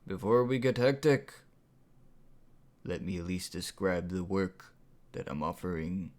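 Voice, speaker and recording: A man plays a calm tyrant in an audiobook. The voice sits low and moves slowly, soft and breathy, with deliberate menace. He sounds like a would-be king in full control. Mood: smug. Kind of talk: audiobook character voice.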